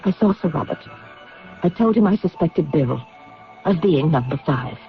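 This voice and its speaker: A woman's voice: low-pitched, animated, moderate pace, faint music behind. Anxious, determined woman, muffled radio. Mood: secretive, earnest. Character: anxious, determined woman entangled in a spy mystery. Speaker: radio drama actress. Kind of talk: vintage radio drama acting. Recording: muffled old radio broadcast.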